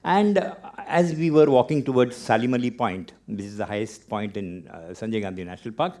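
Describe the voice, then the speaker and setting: Animated Indian man with a mellow voice. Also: on the low side. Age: 50s or older. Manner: animated, wry storyteller with dramatic pauses. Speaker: TEDx speaker. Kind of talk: storytelling talk on stage.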